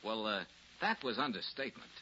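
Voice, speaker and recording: Brash, fast-talking radio detective. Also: male voice, lowish voice, sing-song, sharp tone, soft background music. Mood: confident, wry. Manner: slangy, cocky, teasing. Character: brash, wisecracking male private detective, needling and confident. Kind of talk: 1940s radio detective drama acting. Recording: dull, hissy 1940s radio recording.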